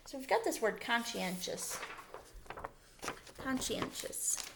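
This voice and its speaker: A woman's voice: fairly high pitch, sing-song, slow pace, gravelly, very noisy. A middle-aged woman teaching a read-aloud lesson to children, explaining carefully, stressing key words and checking in as she goes. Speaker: elementary school teacher.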